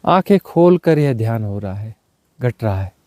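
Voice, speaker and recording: Dark-voiced man guiding meditation. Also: on the low side, animated, no room sound. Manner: unhurried, sparse short phrases with repetition; mixes English words into Hindi. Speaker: meditation teacher. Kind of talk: guided meditation instruction.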